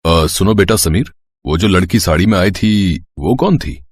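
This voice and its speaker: A man voicing a wise, authoritative middle-aged father in an audio story, kindly and measured. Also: low voice. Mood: curious, approving.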